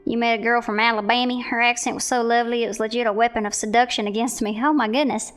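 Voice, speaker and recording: A woman's voice: a little high, fairly even intonation, quick pace, soft background music. An American woman streamer chatting casually and playfully with viewers, putting on a Southern US twang. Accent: American, playing up a Southern US twang. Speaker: streamer showcasing viewer art. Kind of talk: casual livestream chat with viewers.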